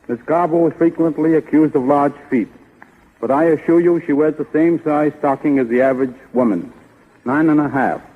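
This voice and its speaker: A man's voice: on the high side, husky. A man giving a formal, scripted guest talk on 1930s radio, reading out prepared advice. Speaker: guest stocking designer. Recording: muffled, narrow-band 1930s radio broadcast.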